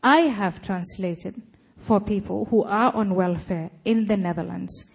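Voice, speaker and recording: A woman giving a public talk, arguing her case forcefully. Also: medium pitch, slightly rough, poor audio. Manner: forceful, argumentative. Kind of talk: lecture and debate answering.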